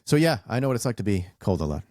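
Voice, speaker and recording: A man in his forties with a very low, deep voice, telling stories in a loose, rambling way as he hosts a podcast conversation. The sound is close and dry, with no room around it. He leans on fillers and trails off between thoughts. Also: rapid-fire, no room sound. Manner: relaxed, rambling storyteller with many fillers. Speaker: podcast host. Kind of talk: casual interview chat.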